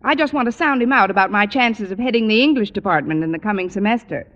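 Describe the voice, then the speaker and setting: An actress playing a wry, wisecracking schoolteacher in an old radio sitcom, with dry, sardonic quips. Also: female voice, medium pitch, animated, fast, lightly acted. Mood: brisk, polite. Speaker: lead actress in a radio comedy. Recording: muffled, noisy vintage radio with long reverb.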